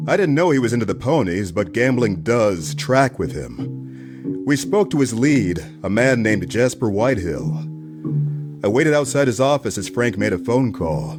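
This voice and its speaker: A man's voice: fairly low pitch, sing-song, measured pace, music in the background. A man playing a dogged, wordy private detective with theatrical hardboiled delivery in a comedic noir radio play. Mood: brooding, theatrical. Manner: theatrical, hardboiled first-person narration and probing questions.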